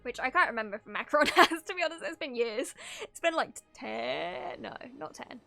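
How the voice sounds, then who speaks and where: A woman's voice: high-pitched, lively intonation, normal speed, creaky, bright, forceful tone. A woman streaming a game, chatting casually in a giggly, rambling way. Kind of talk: casual live chat commentary. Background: faint game music.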